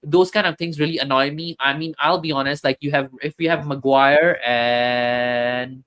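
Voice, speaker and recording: A man's voice: fairly high pitch, fairly even intonation, normal speed, fairly bright tone. A man hosting a casual football talk stream, chatting with viewers and reading comments, hesitant with many fillers and restarts.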